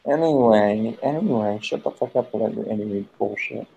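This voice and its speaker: A man's voice: fairly low pitch, brisk, creaky, warm tone, lo-fi sound, slight background noise. A man hosting a casual voice chat stream, teasing his friends and swearing a lot.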